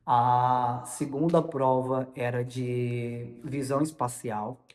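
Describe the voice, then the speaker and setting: A young man with a Brazilian Portuguese accent tells a story informally, in a halting way, often repeating and correcting his words. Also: medium pitch, fairly even intonation, darkish tone. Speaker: vlogger recounting personal experience. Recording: long reverberant room echo.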